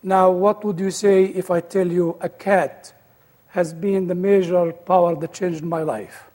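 A man's voice: high voice, fairly even intonation, unhurried, darkish tone, in a large hall. A man with an Egyptian-British accent, an older guest speaker giving his personal testimony with dry humor.

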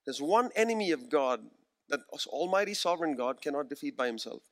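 A man in his forties preaching a sermon, earnest and emphatic, slowing down to repeat key phrases. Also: a little high, animated. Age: 40s.

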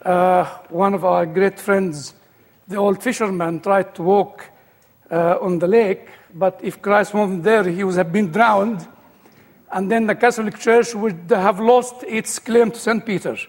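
High-voiced Egyptian-British man in a hall. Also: fairly even intonation, measured pace. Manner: dry humor, storytelling. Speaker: guest speaker. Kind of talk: personal testimony talk.

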